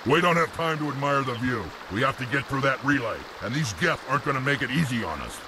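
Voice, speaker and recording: An actor playing a blunt, skeptical squad soldier in a video game. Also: male voice, medium pitch, lively intonation, fast talker, noisy background, natural voice. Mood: urgent.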